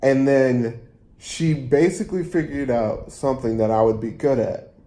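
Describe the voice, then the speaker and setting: A man telling a personal story casually, in a halting, rambling way, often rephrasing and repeating himself. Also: medium pitch, warm tone. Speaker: storyteller vlogger.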